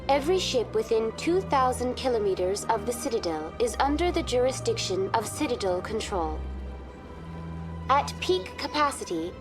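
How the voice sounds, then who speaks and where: A woman playing a polite virtual tour guide program in a game, formal and informative in a scripted guide style. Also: fairly high pitch. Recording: music behind the voice.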